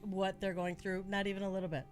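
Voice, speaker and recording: A woman's voice: mid-range voice, level tone, quick pace, faint music behind. An American woman talking casually on a panel in an earnest, emphatic way, repeating phrases for stress. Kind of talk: casual conversational discussion.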